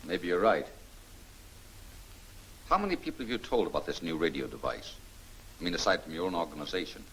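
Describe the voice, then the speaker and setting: Deep-voiced, unhurried gentleman detective. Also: animated. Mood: calm, probing. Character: calm, shrewd gentleman amateur detective, secretly a vigilante. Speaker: actor in a film serial. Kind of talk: 1940s film serial acting. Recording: noisy 1940 film soundtrack.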